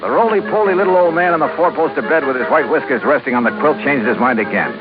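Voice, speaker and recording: A man's voice: medium pitch, fast talker, close to an everyday voice. An American man playing a wry, hardboiled insurance investigator, narrating in 1950s radio detective style. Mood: wry, storytelling. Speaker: lead actor and narrator. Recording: muffled vintage radio recording, loud music.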